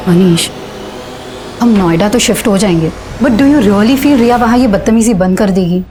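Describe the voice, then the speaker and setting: A woman's voice: medium pitch, moderate pace, dark tone, a little hiss or hum, close to an everyday voice. An actress playing a responsible, exasperated mother in a TV drama. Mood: exasperated, concerned. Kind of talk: TV drama acting.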